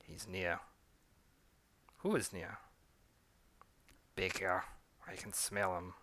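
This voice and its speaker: A man voicing a demanding, drunken male vampire master with a put-on French accent, speaking low and hushed. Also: unhurried, noisy background, lightly acted. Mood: menacing.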